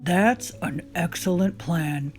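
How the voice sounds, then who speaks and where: A woman's voice: fairly low pitch, slow, faint music behind. A woman voicing an honest, good-natured married shoemaker in a children's storybook read-aloud. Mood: approving.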